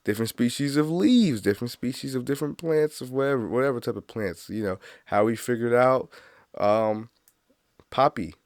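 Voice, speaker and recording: A man's voice: fairly low pitch, gravelly, dry recording, clean studio sound. A man with a stuffy, congested nose rambling through a casual solo monologue, laughing at his own jokes. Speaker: podcast host.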